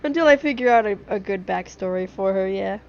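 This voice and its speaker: A woman's voice: medium pitch, animated, fairly quick, a little mellow. A woman live streamer chatting casually over a game, giggly and rambling. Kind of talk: casual livestream chat while gaming. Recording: dull lo-fi stream microphone.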